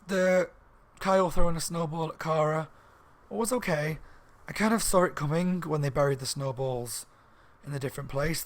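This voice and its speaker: A slightly hoarse man reviewing a book in a rambling, casual chat at home, with lots of ums and restarts. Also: a little high. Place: room at home by a window on a main street.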